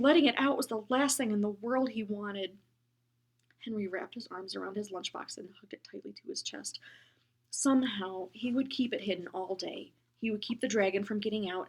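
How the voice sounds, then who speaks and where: A woman reading a children's book aloud, gentle and expressive, often dropping into a whisper. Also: a little high. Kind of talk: storybook read-aloud.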